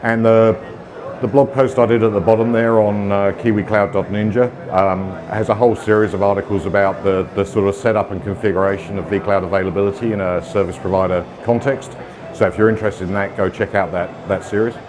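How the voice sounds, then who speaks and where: Middle-aged British man, low voice, casual conference presenter with filler-heavy delivery, audience murmur audible. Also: steady tone, brisk, a crowd in the background. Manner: casual, self-deprecating, frequent ums and uhs. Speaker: conference speaker. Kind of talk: technical conference talk.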